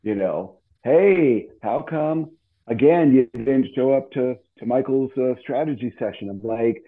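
A man chatting casually as a radio presenter, with halting, hesitant phrasing, heard over a tinny phone-like line. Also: medium pitch. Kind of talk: casual chat.

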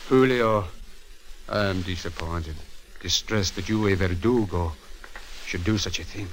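An elderly rancher father with a fairly low voice. He speaks gravely and earnestly, a dignified man of peace in vintage radio drama style. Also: unhurried, low-quality recording. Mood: grave, reproachful. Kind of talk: 1940s radio acting. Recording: 1940s radio broadcast recording.

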